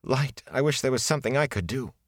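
A man puts on a young village woman, pitched higher and kept quiet, sorrowful and apologetic. It is a close, dry storybook reading. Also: brisk, no echo, natural voice.

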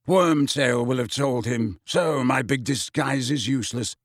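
A man's voice: mid-range voice, measured pace, dry recording, studio quality, lightly acted. A man voicing a grim, bitter, restless man with a sarcastic way of talking, in an audiobook. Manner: sarcastic, colloquial.

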